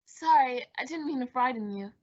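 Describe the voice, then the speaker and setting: A woman's voice: high voice, animated, normal speed, a little mellow. A woman playing a polite, uneasy village leader in an old-time style radio mystery. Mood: polite concern. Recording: dull lo-fi radio play recording.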